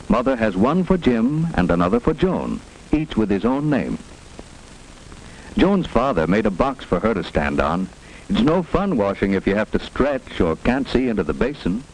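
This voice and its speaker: Male narrator, lowish and animated, teaching children simply, 1940s school film with faint noise. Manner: instructive, simple phrasing for children. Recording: noisy 1940s film soundtrack with hiss.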